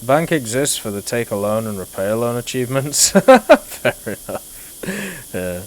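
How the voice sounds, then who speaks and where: A young British man whose accent has softened over years in Canada, chatting casually while streaming a game and answering chat. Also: mid-range voice, sing-song, a little slow, low-quality recording. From Britain. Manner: laid-back, rambling, answers chat. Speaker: livestreamer. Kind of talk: casual gameplay commentary.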